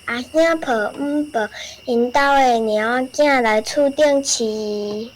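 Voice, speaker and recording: An actor playing a curious little girl full of wonder in a radio drama, speaking slowly and exclaiming in a childlike way with a Taiwanese accent. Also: mid-range voice, natural voice, poor audio. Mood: curious, puzzled.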